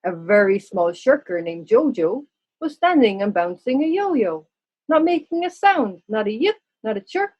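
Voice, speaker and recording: Husky woman narrating a storybook. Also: medium pitch, big pitch swings, normal speed, a little mellow, rough recording. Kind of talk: expressive children's storybook narration.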